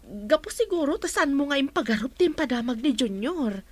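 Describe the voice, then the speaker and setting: Very high-voiced Filipino godmother. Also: animated, brisk. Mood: musing. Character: concerned, familiar godmother and confidante to mother and boy. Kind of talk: radio drama acting.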